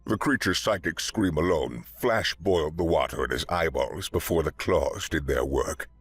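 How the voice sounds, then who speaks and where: A man voicing a nervous amphibian male curator who stammers in a wet, croaking voice. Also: extremely low pitch, normal speed, faint music behind. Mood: desperate. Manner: stammering, anxious.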